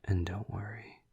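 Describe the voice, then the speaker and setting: A man playing a flirty, tender vampire lover in an intimate ASMR roleplay, half-whispering in a breathy, husky voice with hesitant, teasing murmurs. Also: sits low, dark tone, exaggerated voice.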